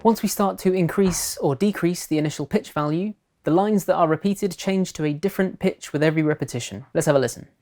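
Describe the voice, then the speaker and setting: Low-pitched woman narrating a tutorial. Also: brisk, hardly any pauses, mellow, faint music behind. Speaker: tutorial presenter.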